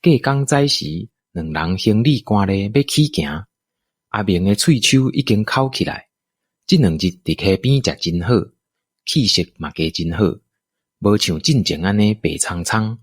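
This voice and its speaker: A man with a Taiwanese accent narrating a scripted radio drama in expressive storytelling style. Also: on the low side, a little slow, no room sound, studio quality.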